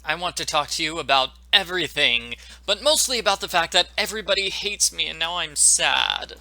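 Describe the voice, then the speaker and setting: A man's voice: fairly high pitch, expressive, fairly quick, vocal fry, bright, forceful tone, dry recording, poor audio. A man giving joking commentary as the host of a video game walkthrough.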